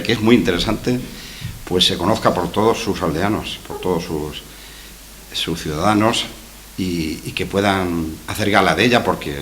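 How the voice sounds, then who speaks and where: A man's voice: fairly low pitch, slight background noise. Man from La giving a talk. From La Mancha, Spain. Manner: hesitant, repeats words, tags asides with "¿verdad?". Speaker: history lecturer. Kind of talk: conference talk. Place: conference room with a projection screen.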